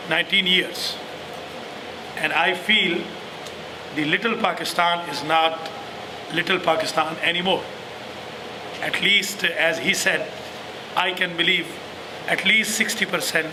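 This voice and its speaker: A man's voice: a little high, noisy background. A man welcoming guests to a small mosque in formal, courteous, slightly broken English. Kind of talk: welcoming speech.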